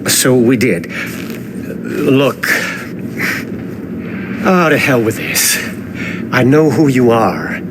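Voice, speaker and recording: An actor playing a roguish, persuasive airship pilot in a video game cutscene, talking in a casual way. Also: male voice, mid-range voice, sing-song, extremely slow, pauses often, noisy background. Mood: conciliatory.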